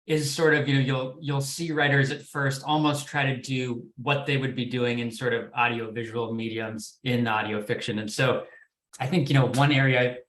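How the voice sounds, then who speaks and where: A man's voice: medium pitch, fairly even intonation, moderate pace, fairly bright tone. A man giving explanations as a development executive on a panel, hedging and repeating words as he thinks. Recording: echoey, roomy sound.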